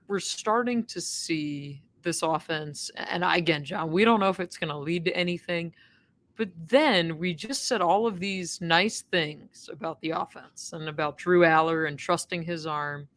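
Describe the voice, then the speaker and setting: A woman co-hosting a college football podcast, telling game stories in a booming, lively way from a stadium press box. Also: a little slow. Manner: lively storytelling, self-described booming voice. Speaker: podcast co-host, sportswriter. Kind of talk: casual sports podcast chat.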